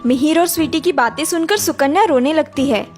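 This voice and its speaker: High-pitched woman narrating a cartoon story. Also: fairly quick, a little mellow, soft background music.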